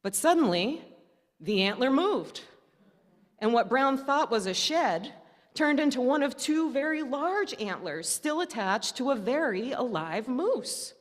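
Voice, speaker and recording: A woman preaching at a church service, telling stories and reading aloud in deliberate phrases with pauses and wry humor. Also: high-pitched, big pitch swings. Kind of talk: sermon storytelling, reading aloud from notes and a newspaper story. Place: indoor church service, speaking to a congregation. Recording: reverberant church hall.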